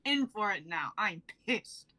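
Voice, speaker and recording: Fiery young female fighter, wrathful, sharp bright voice, fantasy script reading played by a woman. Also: mid-range voice, lively intonation, takes it slowly. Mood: menacing rage. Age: young adult. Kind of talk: amateur dramatic script reading.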